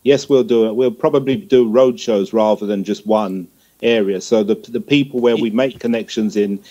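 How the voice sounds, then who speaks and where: A man's voice: lowish voice, normal speed, dark tone, muffled recording. A man explaining plans as a guest in a panel discussion. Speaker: music organisation panel guest.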